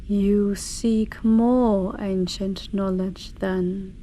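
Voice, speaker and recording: Very slow, haughty ancient dragoness, acted by a woman. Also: medium pitch, steady tone, mellow, a little hiss or hum. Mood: imperious, mocking. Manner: grandiose, archaic, deliberate, breathy whispered passages. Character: ancient female dark dragon, eldritch, haughty, menacing, contemptuous. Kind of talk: slow ASMR fantasy monologue.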